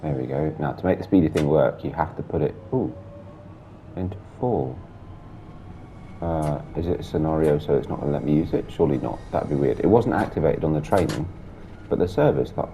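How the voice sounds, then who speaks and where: A man chatting casually while driving a train in a simulator game, gravelly with a sore throat and blocked nose, muttering through the controls. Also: a bass voice, dark tone, slight background noise. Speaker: gameplay streamer. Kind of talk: casual train sim commentary.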